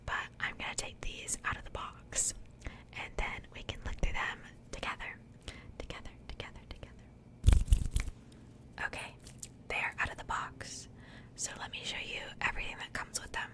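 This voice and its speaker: A woman speaking in a breathy, unvoiced whisper, chatting softly and informally in the style of an ASMR unboxing. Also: moderate pace, pauses often. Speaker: ASMR video creator.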